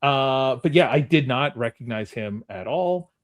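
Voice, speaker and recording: A man's voice: medium pitch, animated, measured pace. A man hosting a game on a podcast in casual, conversational talk. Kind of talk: casual chat.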